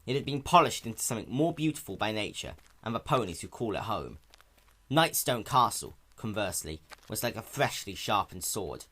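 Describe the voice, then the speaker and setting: Animated male story narrator. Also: lowish voice, brisk. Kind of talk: dramatic narration of fan fiction.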